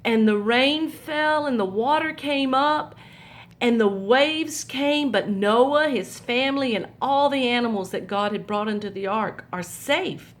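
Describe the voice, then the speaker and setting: A woman telling a Bible story to young children, pointing out the pictures in a gentle, patient way. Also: a little high, a little slow.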